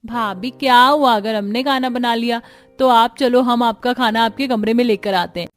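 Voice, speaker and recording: A woman's voice: on the high side, fairly even intonation, moderate pace, soft background music, pitched above the performer's own voice, natural voice. A woman voicing a doting, eager young sister-in-law in a cartoon, caring and insistent. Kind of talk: Hindi cartoon story dialogue.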